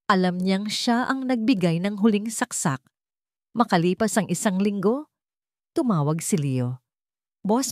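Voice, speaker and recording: A woman's voice: medium pitch, a little mellow, dry recording, clean studio sound. A woman narrating a scripted romance drama in a solemn, dramatic storytelling manner.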